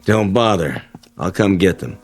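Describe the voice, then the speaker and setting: A man acting in a radio drama as a sly, suspicious coworker who talks in an insinuating, deliberate, falsely casual way. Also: deep voice, animated, faint music behind, heavily acted. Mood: needling. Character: sly, suspicious male coworker probing his neighbours with veiled menace.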